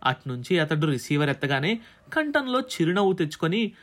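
A man reading a story as a scripted, expressive audiobook narration with a dramatizing delivery. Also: fairly high pitch, brisk. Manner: dramatizes dialogue between characters. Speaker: audiobook narrator, radio jockey.

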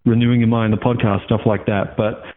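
A man's voice: lowish voice, level tone, a little fast. Guest, Australian man, 30s, chatty and rambling, phone-line sound. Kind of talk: casual interview over the phone.

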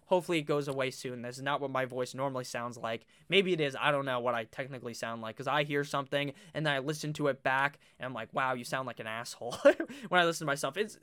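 Young male video host, high bright voice with a clear smooth tone and a slight nasal edge, loose and profane. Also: fast. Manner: swears freely, chatty and animated. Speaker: fantasy football YouTuber. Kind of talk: casual webcam talk while drafting. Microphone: webcam setup.

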